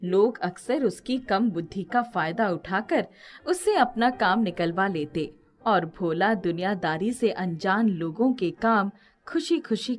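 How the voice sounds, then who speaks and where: A woman reading scripted moral-story narration as a storyteller. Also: medium pitch.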